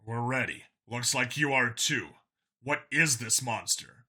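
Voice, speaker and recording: Guy doing an earnest cop in an audiobook, guarded, kinda hesitant, drops his voice low and quiet. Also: unhurried, husky, exaggerated voice. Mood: casual. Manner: subdued, hesitant. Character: guarded, earnest male cop in science fiction. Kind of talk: audiobook character dialogue.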